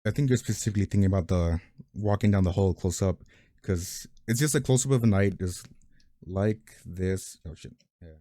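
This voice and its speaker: Casual male animator, very low mellow voice, dry close mic, blunt, swears freely. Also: moderate pace, dry recording. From family from Mexico. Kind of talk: casual chat.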